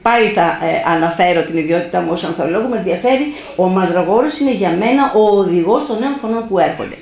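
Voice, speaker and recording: A woman's voice: fairly low pitch, expressive, a little mellow. A middle-aged woman, a university professor, reading a prepared critical talk at a book launch into a table mic in a small cafe room, with loose spoken asides. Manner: measured academic reading, slips into loose asides. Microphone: table mic on a stand. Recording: echoey room.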